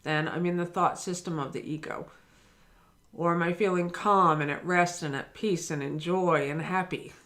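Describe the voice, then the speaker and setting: A woman's voice: on the low side, steady tone, moderate pace, a bit bright. A woman giving a daily spiritual lesson, earnest and encouraging, speaking directly to the listener. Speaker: spiritual teacher.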